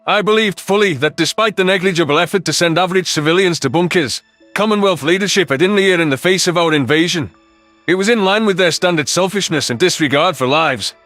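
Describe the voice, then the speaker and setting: A man's voice: fairly high pitch, a bit bright, dry recording. A man reading a scripted first-person science fiction story as an audiobook narrator.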